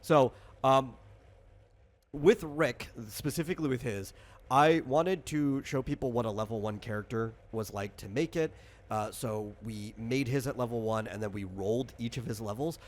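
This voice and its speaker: A man's voice: mid-range voice, moderate pace. A man hosting and explaining a game in casual chat, thinking aloud in a rambling way. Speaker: game designer and host.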